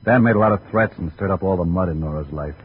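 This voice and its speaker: An American actor playing a blunt, protective, menacing brother in a 1950s radio crime drama. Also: male voice, low-pitched, quick pace, slightly rough. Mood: grim, explaining. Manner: blunt, hard-boiled. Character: gruff, protective, menacing tough-guy brother. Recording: tinny, noisy old radio recording.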